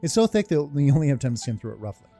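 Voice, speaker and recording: A man gives casual playthrough commentary as a gaming host. His voice is mid-pitched, mellow and laid-back, with faint music behind. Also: sing-song, very fast. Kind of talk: casual playthrough commentary, reading on-screen text.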